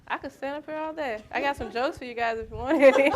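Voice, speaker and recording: High-voiced jokey young woman. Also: moderate pace, crowd noise. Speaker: student presenter. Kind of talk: casual joking chat. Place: indoor college library event.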